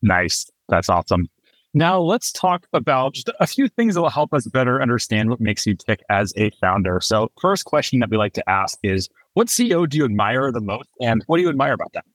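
Male podcast host, brisk and animated, close dry mic. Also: medium pitch, no echo. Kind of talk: scripted intro and conversational interviewing.

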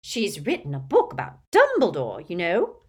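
Woman playing a storybook old witch, shrill, cackling, loud, gossipy, brash. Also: huge pitch swings, moderate pace, husky, full character voice. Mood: gushing.

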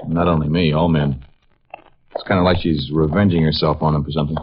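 A lovesick young college man sullen and anxious, with a deep, husky voice. He speaks in earnest 1950s radio drama style on a muffled old recording. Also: steady tone, a little fast. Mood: worried. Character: earnest, bitter, lovesick young male student.

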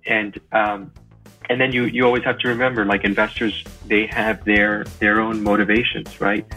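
A middle-aged American man from Miami giving casual interview answers, haltingly, repeating words as he thinks. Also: on the low side, flat delivery, bright, forceful tone, slightly rough, faint music behind. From Miami, Florida, USA. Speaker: podcast guest, lawyer and investor. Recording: thin phone-like sound.

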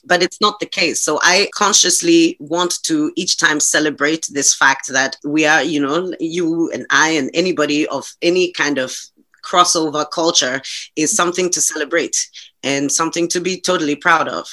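Passionate woman with a bright voice. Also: sits low, moderate pace. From Ghana. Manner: passionate and emphatic, with frequent restarts. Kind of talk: casual conversation.

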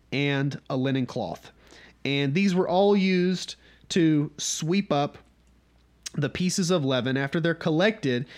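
A man from North Georgia with a Southern US accent, leading a casual Bible study and often checking in with his listeners. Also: fairly high pitch. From North Georgia, USA. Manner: conversational, often checks in with "okay?".

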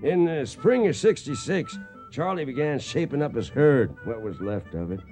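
An actor playing a folksy cowboy who recalls trail days in a Western drawl, narrating a radio drama. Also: male voice, medium pitch, animated, moderate pace, music in the background, natural voice. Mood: reminiscing. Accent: American Western drawl. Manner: folksy, reminiscing.

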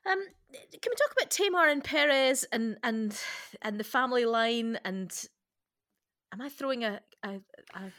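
A woman's voice: fairly high pitch, expressive, moderate pace, a bit bright, dry recording, clean studio sound. A woman hosting a conversational Bible discussion, tentative and questioning, often restarting her phrases. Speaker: podcast host.

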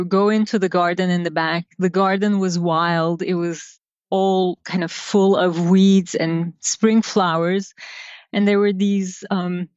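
A middle-aged woman from Turkey, a designer, telling stories from her childhood in an interview, unhurried and reflective with many pauses. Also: medium pitch, no room sound. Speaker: guest designer. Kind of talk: casual interview storytelling. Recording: dull lo-fi sound.

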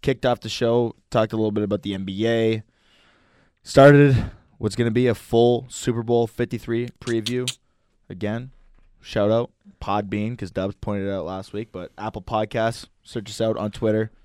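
A young man with a fairly low voice co-hosts casual sports talk on the radio. He sounds close to the microphone, with a dry studio sound. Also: fairly even intonation, normal speed, darkish tone, smooth, no room sound. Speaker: sports radio show co-host.

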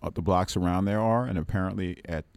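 A man talks as a musician guest on a radio show, rambling loosely and laughing often. His voice sits very low. The studio sound is dry and close. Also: fairly even intonation, fast, creaky, darkish tone, no room sound. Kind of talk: casual radio chat. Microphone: studio microphone.

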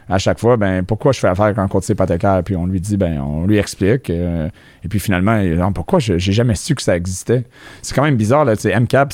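A man's voice: lowish voice, darkish tone, no echo, clean studio sound. A man with a Québécois French accent hosting a podcast interview in a chatty, joking way, slipping English phrases in. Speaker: podcast host. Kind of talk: casual interview chat.

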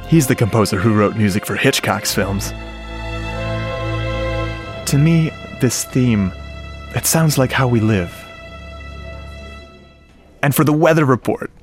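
A man playing a shy, earnest, nerdy sound-effects man, telling his story conversationally and haltingly in a radio drama, with a wistful manner. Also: mid-range voice, natural voice. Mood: proudly explaining. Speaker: narrator and lead character. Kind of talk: first-person radio drama monologue. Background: music and sound effects.

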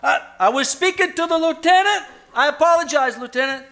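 A man's voice: very high-pitched, lively intonation, bright, forceful tone, heavily acted. A man playing a blustering, suspicious police officer in an old-time radio comedy, with an exasperated, commanding manner. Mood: eager. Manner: exasperated, commanding, drawn-out exclamations.

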